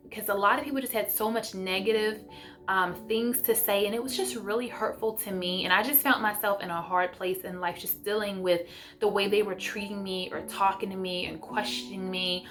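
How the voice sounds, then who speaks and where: A woman's voice: medium pitch, a bit bright. A woman chatting casually to her viewers in an effusive, encouraging way, as the host of a homeschooling vlog.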